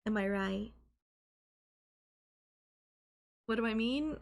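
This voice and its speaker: A woman playing a doting, obsessive and calmly manipulative girlfriend in an intimate ASMR audio roleplay, coaxing and softly teasing. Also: a little high, a little fast, gravelly. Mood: calm, probing. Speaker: voice actor.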